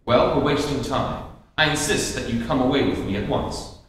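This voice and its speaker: A man's voice: mid-range voice, moderate pace, fairly bright tone, close to an everyday voice. A man acting as a stern, sinister, insistent villain in an old-time radio play. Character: sinister, insistent male villain Mister Jones. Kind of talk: 1940s radio acting re-enacted on stage. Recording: distant, very echoey, faintly noisy hall.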